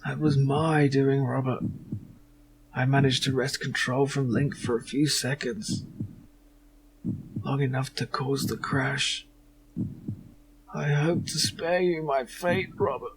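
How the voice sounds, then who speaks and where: A man's voice: medium pitch, fairly even intonation, slow, long pauses, slight background noise, poor audio. A man voicing a dying, anguished father in a game, with a strained voice and a grave, melodramatic manner. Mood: weary confession. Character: dying anguished father, urgently warning his grown son.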